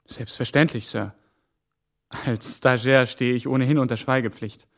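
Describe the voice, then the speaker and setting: A man's voice: medium pitch, fairly even intonation, moderate pace, vocal fry, a bit bright. An earnest young male trainee. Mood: earnest, deferential. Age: young adult. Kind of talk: German radio drama acting.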